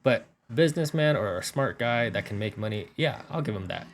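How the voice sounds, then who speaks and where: A man's voice: mid-range voice, animated, a little fast, slight background noise. A man in a group debate, talking casually and hedging his opinion.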